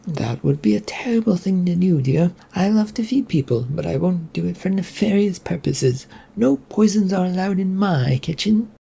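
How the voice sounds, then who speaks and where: Storyteller doing a sweet old castle cook lady, motherly, voice up high. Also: animated, normal speed, vocal fry, few pauses, dark tone, breathy edge. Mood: gentle. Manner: kindly, motherly, food-obsessed.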